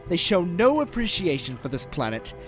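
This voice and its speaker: A man's voice: sits high, sing-song, moderate pace. A man playing a cold, gloating alien villain who despises humanity, in menacing, speech-making audio drama. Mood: scornful, grandiose. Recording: loud music behind the voice. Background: dramatic music.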